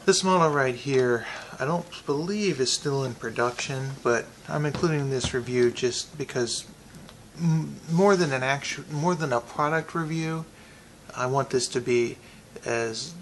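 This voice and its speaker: A man giving a casual, unscripted product review, thinking aloud in a halting way with pauses. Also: mid-range voice, a little hiss or hum, poor audio.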